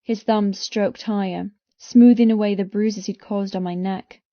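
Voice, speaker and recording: A woman's voice: medium pitch, steady tone, measured pace, warm tone, no room sound. Woman doing dramatic audiobook romance narration, midrange voice, really dry sound, a little muffled.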